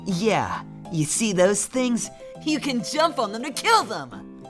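A woman voicing a gentle, friendly goat child in a comic dub, hesitant and easily flustered. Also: fairly high pitch, very animated, slow pace, faint music behind. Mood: friendly coaching.

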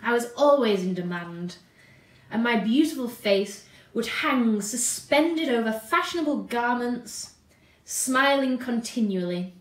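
A woman voicing an eccentric, vain, old lady in a theatrical, deliberate dramatic story reading. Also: fairly high pitch, animated, recorded from a distance. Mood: wistful, dramatic. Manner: theatrical, deliberate, drawn-out delivery. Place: indoor room at home. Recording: roomy home sound.

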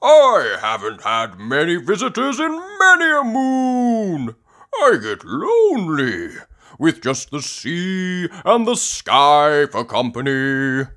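A man voicing a jolly, boisterous old grandfather rabbit in a children's cartoon storybook, with hearty drawn-out exclamations. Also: wildly swooping pitch, pushed and bright, full character voice. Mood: hearty, wistful. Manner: hearty, booming, drawn-out exclamations, breaks into song.

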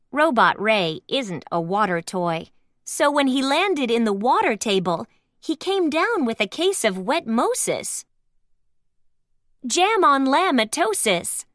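A high-voiced woman reads a children's storybook aloud as narrator. Her delivery is slow and very lively, with expressive, careful enunciation suited to young listeners. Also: dry recording, clean studio sound.

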